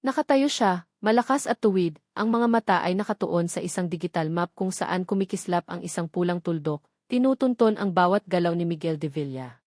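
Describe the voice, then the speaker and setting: A woman with a Filipino accent narrates a scripted romantic drama in a measured, dramatic storytelling style. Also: fairly low pitch, a little mellow, dry recording, studio quality.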